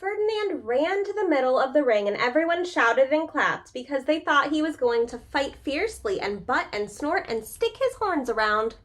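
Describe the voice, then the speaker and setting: A woman with a slightly high voice reads a picture book to young children in the manner of a preschool teacher. Her delivery is expressive and informal, moving between the story text and chatty asides that invite the listeners to answer. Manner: expressive, pauses to ask listeners questions. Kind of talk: children's storybook read-aloud with casual asides.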